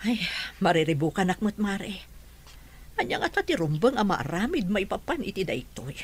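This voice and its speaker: A woman's voice: mid-range voice, big pitch swings, normal speed, slightly rough. An actress playing an anxious, middle-aged mother in an emotional radio drama. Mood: worried, subdued. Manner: emotional, fretful. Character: anxious middle-aged mother, fretting over her wayward daughter.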